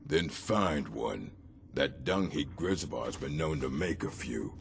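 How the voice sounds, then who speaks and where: Fantasy game brute: extremely low, gravelly, curt, menacing prison heavy. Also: male voice, animated, slow, full character voice. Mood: gruff, menacing. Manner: gruff, curt. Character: huge brutish male prisoner guard, gruff and menacing.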